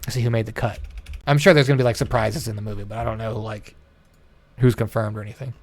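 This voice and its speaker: A man's voice: on the low side, steady tone, fast talker. A man co-hosting a gaming show in casual chat, joking and swearing in an informal way. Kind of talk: casual chat, reacting to old film trailers.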